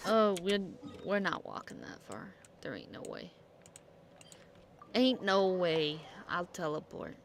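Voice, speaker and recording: A woman streams a game with casual, slangy commentary, swinging widely in pitch at an unhurried, slow pace. She is playful and blunt, with faint noise behind her. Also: wildly swooping pitch, creaky.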